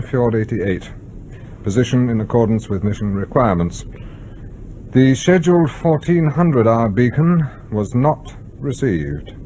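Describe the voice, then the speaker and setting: Man acting a stern submarine captain, very varied pitch. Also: dark tone, a little hiss or hum, natural voice. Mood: formal log dictation. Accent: British. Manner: clipped, formal, authoritative. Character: stern, rule-bound British nuclear submarine captain. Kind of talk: radio drama acting.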